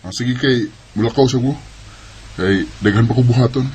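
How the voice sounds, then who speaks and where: A man acts a hardworking Filipino big brother with a Bisaya accent. His voice is average in pitch, protective and earnest, as in a radio drama. There is faint noise behind him. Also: normal speed, darkish tone, natural voice. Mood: agreeable, advising. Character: protective, hardworking older brother raising his younger sister.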